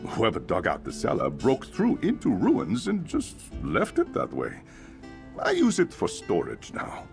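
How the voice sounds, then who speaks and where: An actor playing a chatty, grumbling but curious innkeeper in a fantasy video game, expressive and musing aloud. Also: male voice, lowish voice, unhurried, gravelly, music in the background, full character voice. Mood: breezy. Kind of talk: video game character acting.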